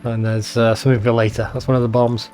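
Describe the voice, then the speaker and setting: A man with a mellow, mid-pitched voice chats through a video game. He rambles conversationally and swears casually, with faint music behind him. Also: level tone, quick pace. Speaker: let's play host. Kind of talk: casual gameplay commentary.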